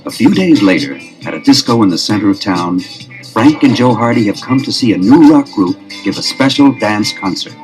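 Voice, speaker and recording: A male narrator gives measured, scripted narration for an old record-album drama. His voice is low and even. Clear music plays behind him. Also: rough recording.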